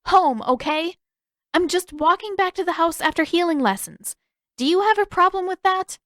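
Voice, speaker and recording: A woman voicing a feisty, defensive teenage girl in an audiobook, huffy, indignant and quick to snap. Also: extremely high pitch, animated, fairly bright tone, no room sound, studio quality, pitched above the performer's own voice.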